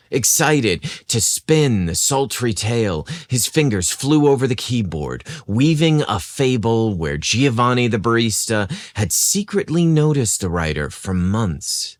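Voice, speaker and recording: A man reading a scripted romance story as a podcast narrator, with a measured, dramatic storytelling delivery. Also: on the low side, no room sound, clean studio sound.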